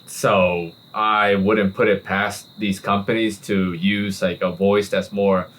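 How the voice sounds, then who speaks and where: Low-voiced chill guy on a cigar podcast, talks super slow, drags out his reactions. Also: flat delivery, fairly bright tone. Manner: unhurried, drawn-out exclamations, laid-back. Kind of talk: casual chat. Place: relaxed cigar-smoking hangout with friends.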